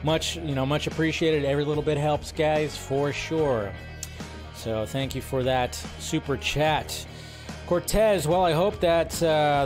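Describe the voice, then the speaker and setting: A man with a fairly high-pitched voice hosts a casual livestream. He talks informally and loosely to his viewers, rambling and repeating phrases with the odd mild swear word. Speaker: livestream host. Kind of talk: casual livestream chat, greeting viewers and reading comments.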